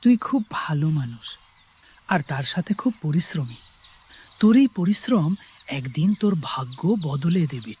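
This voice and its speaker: A man's voice: a little high, very animated, measured pace, a little mellow, a little hiss or hum. A man playing a kindly older village uncle in a cartoon fairy tale. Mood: kindly, encouraging.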